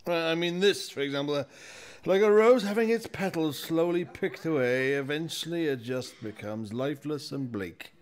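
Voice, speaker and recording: A man's voice: a little high, normal speed, close to an everyday voice. Man playing a fussy, officious boss. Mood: brisk, awkward. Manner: blunt, exasperated, officious. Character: portly, fussy magazine boss. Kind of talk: audio play acting.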